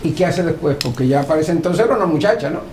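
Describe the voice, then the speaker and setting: A woman's voice: sits low, animated, fast talker, darkish tone. A woman interviewing someone attentively, asking formal and polite questions. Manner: formal, polite, frequent backchannels. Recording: echoey room with faint noise.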